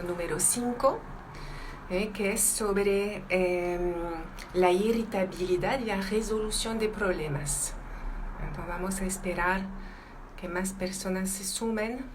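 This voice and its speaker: A woman from France with a French accent, a native French speaker talking live to viewers as a teacher, halting often and slipping Portuguese words into her Spanish. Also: medium pitch, slight background noise. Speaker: emotional intelligence teacher hosting a live stream. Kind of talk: live-stream talk to viewers.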